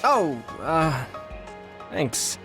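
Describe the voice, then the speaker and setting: A man's voice: fairly high pitch, sing-song, extremely slow, sharp tone, faint music behind, heavily acted. A man playing an earnest, modest young soldier in an anime-style video game, polite and a little hesitant. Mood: hesitant, awkward.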